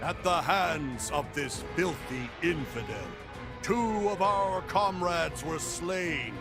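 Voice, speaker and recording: Stern, self-righteous accuser, high-pitched. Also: male voice, takes it slowly, gravelly, music in the background. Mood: stern, condemning. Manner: formal, declamatory. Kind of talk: video game cutscene acting.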